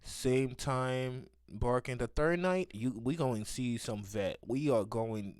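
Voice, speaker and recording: A man's voice: mid-range voice, takes it slowly, clear, resonant tone, no room sound, clean studio sound. A man giving casual, slangy live reactions as a video host, with short interjections and asides.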